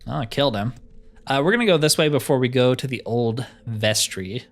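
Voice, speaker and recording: A man's voice: mid-range voice, expressive, fairly quick. A man giving casual gameplay commentary in a laid-back way, musing to himself as he plays. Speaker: gaming streamer.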